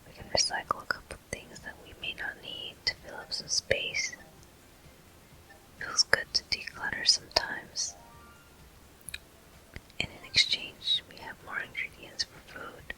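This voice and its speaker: Slow, breathy ASMR whisper, gaming commentary. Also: low-quality recording. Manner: soft, unhurried, gently narrating each step. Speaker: gaming video host.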